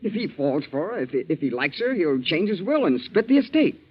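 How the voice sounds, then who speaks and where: A man's voice: on the high side, sing-song, fairly quick, breathy edge. An actor playing a greedy, sly young man resentfully scheming, in a 1940s radio drama. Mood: indignant. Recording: dull, scratchy 1940s radio broadcast.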